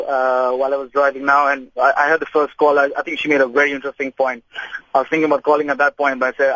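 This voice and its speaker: Guy calling a radio show from his car, talks fast, dull dry sound. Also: a little high, a bit bright, no room sound. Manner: eager, quick, restarts sentences. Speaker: caller. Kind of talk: casual phone-in chat.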